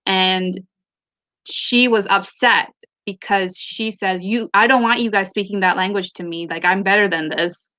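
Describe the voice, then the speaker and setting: A woman's voice: medium pitch, steady tone, unhurried, bright, forceful tone. A woman whose first language is Tamil, co-hosting a casual book club discussion over a video call, thoughtful and full of fillers and restarts. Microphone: laptop or phone mic on a video call.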